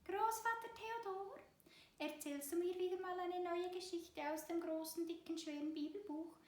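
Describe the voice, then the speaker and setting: A woman voicing a very young, eager girl mouse who begs excitedly in a children's story. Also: moderate pace, roomy sound. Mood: pleading. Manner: begging, excited, squeaky.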